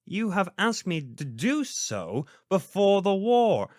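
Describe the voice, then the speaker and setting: A man's voice: sits high, big pitch swings, takes it slowly, dry recording, clean studio sound, exaggerated voice. A man playing a pompous, indignant elderly priest in a theatrical audio roleplay, sardonic and histrionic. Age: older.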